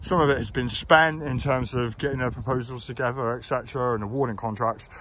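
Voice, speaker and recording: A man's voice: mid-range voice, steady tone, fairly quick, a bit bright. A man walking through an outdoor park, explaining and criticising plans in a hesitant way with many fillers, recorded on a handheld phone. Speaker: local election candidate. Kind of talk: walking tour commentary. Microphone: phone camera held while walking. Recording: phone recording outdoors with faint background noise.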